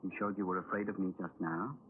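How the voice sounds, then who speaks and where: An actor playing an eccentric, menacingly polite male stranger in an old radio thriller, speaking in a pedantic, deliberate way. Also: low voice, steady tone, close to an everyday voice. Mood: probing, eerie. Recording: tinny, noisy old radio broadcast.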